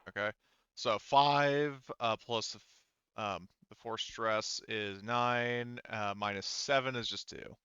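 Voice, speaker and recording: A man speaking casually and haltingly as game master of a tabletop roleplaying game, thinking aloud as he goes. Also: on the low side, fairly even intonation, sharp tone, clear, resonant tone, dry recording, highs cut off.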